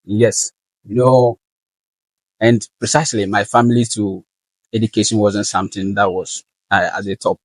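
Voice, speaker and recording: Young Ghanaian man, low voice. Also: level tone, no echo, poor audio. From northern Ghana. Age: young adult. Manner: earnest and measured, with frequent fillers. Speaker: guest, valedictorian student. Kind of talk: interview answers.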